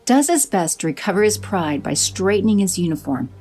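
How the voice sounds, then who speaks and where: A woman narrating an audio drama in a measured, scripted storytelling manner. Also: medium pitch, very animated, a little mellow.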